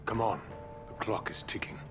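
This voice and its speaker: A man's voice: moderate pace, loud music behind the voice, close to an everyday voice. A man playing a cold, obsessive scientist villain in a video game, speaking in a formal, curt and solemn manner. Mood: impatient.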